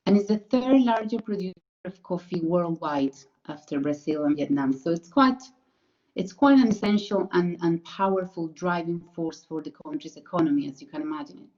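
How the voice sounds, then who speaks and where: Woman, Colombian Spanish accent, low-ish voice, earnest research presentation, hesitant restarts, muffled call audio. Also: lively intonation, moderate pace, darkish tone. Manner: earnest, careful, with many fillers and self-corrections. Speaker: PhD researcher. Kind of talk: academic presentation.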